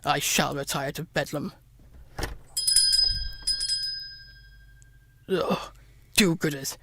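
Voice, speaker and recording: An actor playing a sour, miserly old man in a radio play, gruff, scornful and sarcastic. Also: on the high side, unhurried, bright, forceful tone, slight background noise, exaggerated voice. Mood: grumbling. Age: older. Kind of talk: radio play acting of a Victorian tale.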